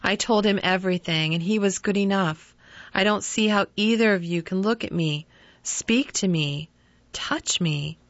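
A woman's voice: medium pitch, measured pace, dry recording, lightly acted. A woman reading the lines of a broken, ashamed grown son, in a pained confessing tone. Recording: dull lo-fi dry recording.

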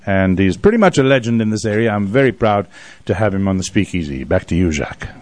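A man's voice: lowish voice, big pitch swings, fast, no echo. Older man, radio host, casual interviewing, dry studio sound.

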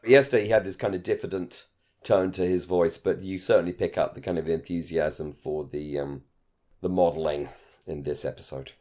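A man's voice: deep voice, fairly even intonation, moderate pace, mellow. A man co-hosting a podcast in casual discussion, speaking appreciatively and emphatically, often repeating his phrases. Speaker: podcast co-host. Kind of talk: casual discussion of a radio drama.

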